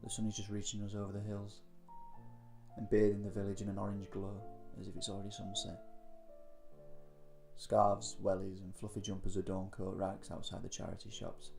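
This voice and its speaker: A man's voice: fairly low pitch, vocal fry, a little mellow. A man narrating a scripted first-person audio story in a descriptive, reflective manner. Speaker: narrator of an audio story, playing a scout leader.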